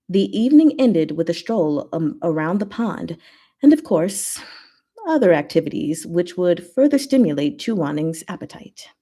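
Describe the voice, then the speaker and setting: A woman's voice: fairly low pitch, very animated, normal speed, mellow. A woman reading fan fiction aloud as narration. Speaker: story reader.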